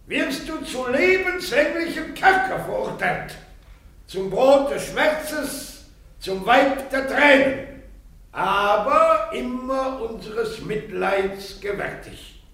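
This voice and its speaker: A court official reading a verdict slowly and formally. His high voice carries through a very echoey room. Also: gravelly, a bit bright, exaggerated voice. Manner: slow, measured, formal. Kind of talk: radio play acting.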